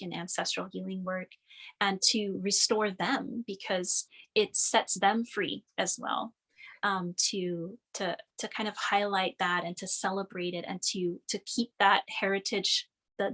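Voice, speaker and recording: A woman's voice: mid-range voice, a bit bright, poor audio. Reflective woman guest from Cameroon. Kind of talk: reflective spiritual conversation.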